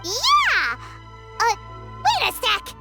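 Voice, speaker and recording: Game fairy companion: very high childlike squeak, lively range, chirpy and earnest. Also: female voice, drawn-out words, creaky, heavily acted. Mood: excited. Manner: exclamatory, cutesy, colloquial. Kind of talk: English video game dub. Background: music.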